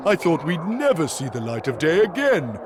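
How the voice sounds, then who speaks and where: A man's voice: a little high, sing-song, normal speed, background noise. A man voicing a big, simple, good-natured and ever-hungry Gaulish strongman in a cartoon game, with a naive, hearty manner. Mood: plaintive. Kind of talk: animated game cutscene acting. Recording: music behind the voice.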